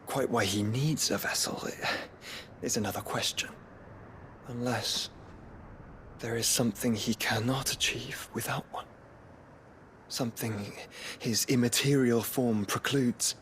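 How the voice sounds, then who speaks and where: An actor playing a solemn, resolute nobleman in a video game, earnest and deliberate with formal phrasing. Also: medium pitch, steady tone, fairly bright tone, slight background noise, natural voice. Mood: solemn, pondering. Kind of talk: dramatic video game acting.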